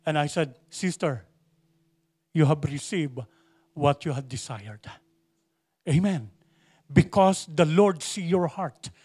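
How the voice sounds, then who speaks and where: High-voiced preaching man, emphatic. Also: animated, slow, long pauses, darkish tone, slightly rough. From Philippines. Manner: emphatic preaching, repeats phrases, asks the congregation questions. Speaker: pastor. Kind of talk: sermon.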